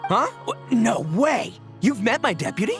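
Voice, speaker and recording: A cocky young male gang boss from a video game. His delivery is boisterous and swoops widely in pitch, full of slangy bragging. Music plays behind him. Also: big pitch swings, moderate pace, exaggerated voice. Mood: surprised. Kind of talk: animated video game acting.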